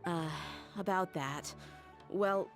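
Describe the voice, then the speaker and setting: Loyal young female mercenary: lower register, casual and plain-spoken game dialogue over light music. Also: takes it slowly. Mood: casual, uncertain. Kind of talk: video game voice acting.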